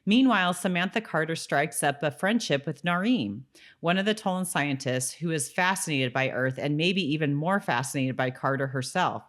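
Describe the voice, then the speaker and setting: A woman's voice: low voice, expressive, normal speed. A woman co-hosting a podcast, chatting casually and jokingly with frequent giggles. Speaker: podcast co-host. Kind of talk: casual chat about a TV episode, some reading from notes.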